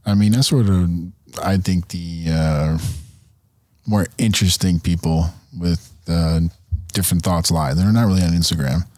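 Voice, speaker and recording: A man talking as a guest on a casual podcast, relaxed and self-deprecating, often restarting his words. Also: low-pitched, moderate pace, no room sound, studio quality. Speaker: podcast guest, brand founder. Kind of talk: casual interview chat.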